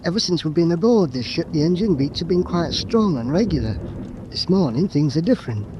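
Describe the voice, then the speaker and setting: An actor playing an edgy, plain-spoken British sailor held prisoner in a wartime radio drama, colloquial and given to grumbling. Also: male voice, fairly high pitch, a little fast, muffled recording, background noise, close to an everyday voice. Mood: uneasy, urgent. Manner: colloquial, animated, complaining.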